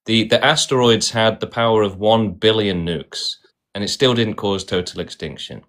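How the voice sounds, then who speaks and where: Man, fairly low voice, host arguing hard with guests, keeps saying right. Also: moderate pace, a bit bright. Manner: insistent, repeats "right?". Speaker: host of a debate stream. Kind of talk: argumentative debate.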